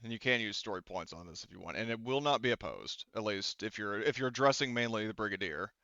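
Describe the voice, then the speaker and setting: A man's voice: on the low side, quick pace, gravelly, pushed and bright, dry recording, muffled recording. A man casually narrating and refereeing a tabletop roleplaying game as game master, with some swearing.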